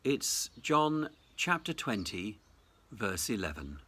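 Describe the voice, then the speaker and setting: A man, a cathedral dean, giving a reflective Easter message in measured, deliberate phrases. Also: lowish voice, very animated, sharp tone.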